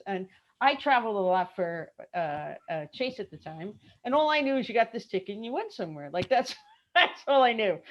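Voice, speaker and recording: A middle-aged woman with a Brooklyn accent, chatting lively as an interview guest on a video call and laughing often. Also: medium pitch, dull audio. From Brooklyn, New York. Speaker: guest, travel industry consultant. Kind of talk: casual interview chat.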